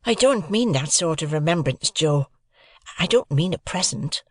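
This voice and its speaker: Earnest young lad, pleading, pitched way up, a guy putting it on. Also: animated, normal speed, no room sound, clean studio sound. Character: earnest young male apprentice.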